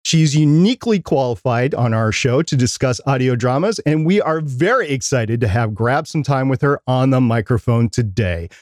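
Animated man hosting a podcast. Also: mid-range voice, normal speed, few pauses, dry recording, clean studio sound. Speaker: podcast co-host. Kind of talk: podcast presenting, prepared introduction and interview.

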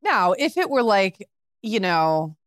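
A woman's voice: mid-range voice, sing-song, takes it slowly, dry recording, studio quality. A woman co-hosting a podcast in casual conversation, easygoing and optimistic, with frequent "like"s and a lingering cough after a flu. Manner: easygoing, optimistic, says "like" often. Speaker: podcast co-host.

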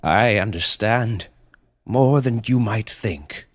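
A man voicing a giant male panda leader in a storybook tale, speaking in a slow, stately and grandiose way. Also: medium pitch, pitched above the performer's own voice, close to an everyday voice. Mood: solemn, measured.

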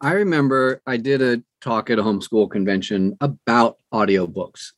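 A man's voice: mid-range voice, normal speed, no room sound. An older man, an audiobook narrator, talking conversationally as an interview guest and telling anecdotes.

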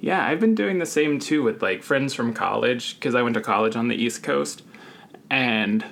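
A man from the Deep South co-hosting a podcast, talking in a relaxed, reflective way over a video call and laughing now and then. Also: normal speed, creaky. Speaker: podcast co-host. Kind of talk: casual chat.

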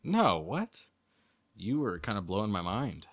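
A man's voice: fairly low pitch, sing-song, unhurried. A man co-hosting a podcast, reading quiz questions aloud and chatting casually in an easygoing way. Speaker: podcast co-host, quizmaster.

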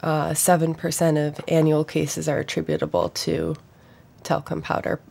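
A woman doctor is a guest on a radio interview, speaking cautiously and qualifying every estimate. Her voice is very low and gravelly. Her delivery stays flat and even. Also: normal speed. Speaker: guest physician.

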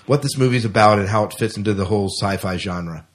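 A man's voice: fairly low pitch, fast, a little hiss or hum. A man hosting a podcast in a casual, conversational style. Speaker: podcast host.

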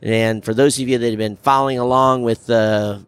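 A man hosting a podcast in a casual, chatty style, with halting phrasing. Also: mid-range voice, fairly even intonation, clean tone, no echo. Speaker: podcast host. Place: booth in a very large trade show hall. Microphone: podcast microphone.

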